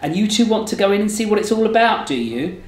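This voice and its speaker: A man voicing a camp, kindly English cabaret entertainer in his fifties for an audiobook, with crisp, theatrical, teasing delivery. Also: high voice, very animated, fast, pitched above the performer's own voice. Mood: amused, theatrical. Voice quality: crisp, well presented. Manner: arch, teasing, theatrical, delicate. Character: camp, kindly, worldly fifty-ish male cabaret entertainer. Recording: roomy echo, faint music behind.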